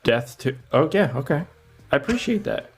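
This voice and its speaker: A man's voice: fairly high pitch, sing-song, moderate pace, a little hiss or hum. A man guesting on a film podcast, chatting casually with a wry, joking manner. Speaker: podcast guest, fellow film show host.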